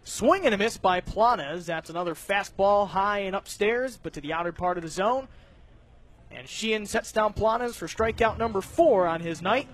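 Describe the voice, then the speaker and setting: Guy doing live baseball radio play by play, pretty high voice, calls each pitch. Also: normal speed. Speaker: play-by-play announcer.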